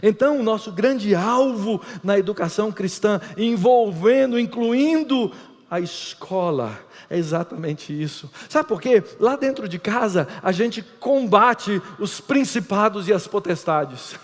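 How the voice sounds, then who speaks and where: A middle-aged Brazilian man with a Brazilian Portuguese accent, preaching an emphatic lecture-style sermon that swings between loud and hushed. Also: sits high, expressive, unhurried. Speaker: pastor. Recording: reverberant hall.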